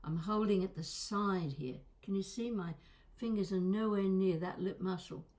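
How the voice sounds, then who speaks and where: A woman's voice: mid-range voice, moderate pace, a little mellow. A woman coaching vocal exercises in a conversational, instructional way, stressing and stretching key words. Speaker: voice and accent coach.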